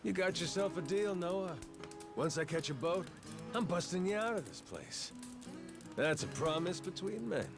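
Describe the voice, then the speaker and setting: An American male actor playing a gruff, blunt but honorable yakuza with no memory, talking in rough slang in a video game cutscene. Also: high voice, big pitch swings, moderate pace, full character voice. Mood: resolute. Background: game music.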